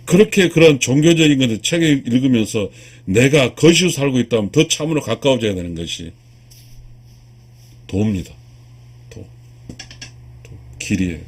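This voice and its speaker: Husky man in his sixties, lecturing emphatically. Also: medium pitch, lively intonation, normal speed, long pauses. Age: 60s. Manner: earnest, emphatic, repeats and restarts key phrases. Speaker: lecturer, video channel host. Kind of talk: spiritual lecture addressed to viewers.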